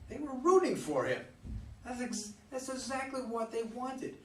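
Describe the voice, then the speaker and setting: A man's voice: very high-pitched, very animated, a little slow, heavily acted. Man playing an earnest former fiancé, distant roomy sound. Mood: bitter, agitated. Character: earnest, disillusioned man explaining himself to his former fiancée. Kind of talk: dramatic stage play reading. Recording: roomy, distant microphone, faint noise.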